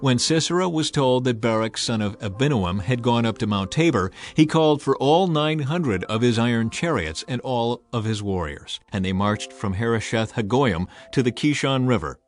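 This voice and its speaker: A man reading scripted scripture narration in a measured, solemn storytelling manner. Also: medium pitch, expressive. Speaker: narrator of a dramatized audio Bible. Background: music under the voice.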